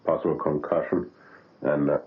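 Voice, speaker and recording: An actor playing a guilt-ridden older surgeon who stammers and hesitates in a 1970s radio drama. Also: male voice, very deep voice, steady tone, normal speed. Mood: anxious. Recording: narrow phone-like old radio broadcast, faint hiss.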